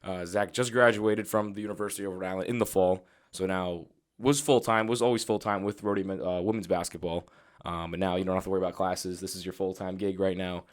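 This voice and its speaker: Young guy hosting a podcast, talks quick and a bit low, chatty and easygoing. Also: fairly even intonation. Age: 20s. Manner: loose and chatty, many "like" fillers. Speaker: podcast host. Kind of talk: casual interview chat.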